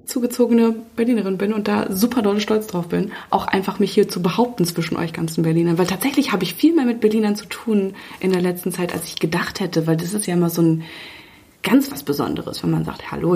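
A woman's voice: medium pitch, brisk, vocal fry, darkish tone. A 26-year-old woman from western Germany chatting casually as a podcast co-host, slangy and fond of acting out dialogue in her anecdotes. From Germany, Münster in western Germany. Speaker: podcast co-host, online editor. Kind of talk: casual chat, animated anecdotes.

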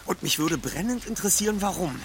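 An actor playing a self-assured teenage detective leader with formal, precise wording in an audio drama. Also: male voice, high voice, expressive, quick pace, creaky, noisy background. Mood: pensive, decisive. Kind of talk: German audio drama acting.

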